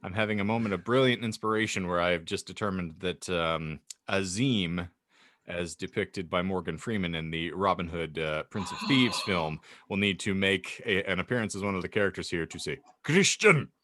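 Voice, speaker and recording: A man's voice: low-pitched, brisk, fairly bright tone. A man hosting a comedy podcast, reading and bantering in a theatrical, mock-grandiose way with florid wording. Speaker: podcast host and fan fiction reader.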